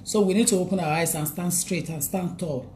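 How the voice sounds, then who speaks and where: Nigerian lady on a livestream, low voice, chatty and repetitive, bit of background hiss. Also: brisk, a little mellow. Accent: Nigerian English. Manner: chatty, repeats phrases, reads out viewer names, laughs often. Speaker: livestream host. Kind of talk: live stream talk, greeting viewers.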